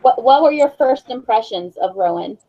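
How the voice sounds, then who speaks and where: A woman's voice: on the high side, expressive, moderate pace. A woman hosting a casual book discussion on a group video call, speaking in an informal, chatty way. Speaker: book club discussion host. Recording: dull lo-fi video call audio.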